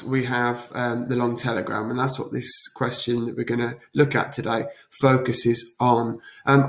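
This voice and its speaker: A man giving an explanatory revision lesson as a teacher, talking in a deliberate, emphatic way with rhetorical check-ins. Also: medium pitch. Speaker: history teacher. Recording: echoey, roomy sound.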